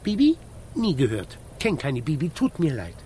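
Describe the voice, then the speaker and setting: A man playing a sly, greedy chicken thief in a children's radio play, switching between oily flattery and gruff bossiness. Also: fairly high pitch, normal speed, heavily acted. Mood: falsely sweet.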